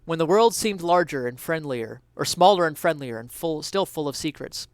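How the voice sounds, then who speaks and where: Clear-voiced man giving an earnest dramatic reading. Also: on the high side, lively intonation, moderate pace, no room sound, clean studio sound. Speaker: reader of an essay.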